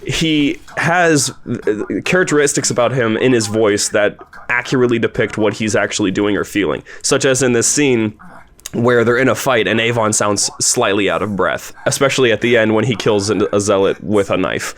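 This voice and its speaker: Enthusiastic, chatty man with a lower voice. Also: moderate pace. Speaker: host and producer of an audio drama. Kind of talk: casual commentary discussion.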